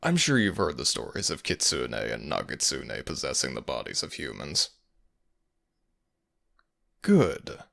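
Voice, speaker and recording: A man playing a sadistic, mocking male fox spirit in an ASMR audio roleplay, speaking with slow, theatrical menace. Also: rumbling low, huge pitch swings, vocal fry, fairly bright tone, pitched below the performer's own voice, heavily acted. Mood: silky taunting.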